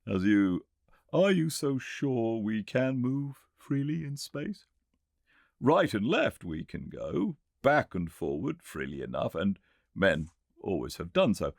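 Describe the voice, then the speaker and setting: A man voicing a Victorian gentleman inventor with a British accent, lecturing eagerly and confidently in an emphatic audiobook performance. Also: mid-range voice, sing-song, creaky, no room sound, studio quality, pitched above the performer's own voice, full character voice. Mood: eager rebutting. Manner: emphatic, didactic, pauses to make points. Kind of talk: audiobook character dialogue.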